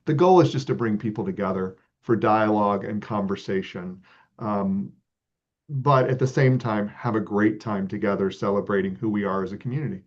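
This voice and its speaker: A man from Texas, a guest leading an arts organization, answering interview questions in a relaxed, earnest way with many ums and uhs. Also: on the low side, normal speed. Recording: slightly dull lo-fi audio.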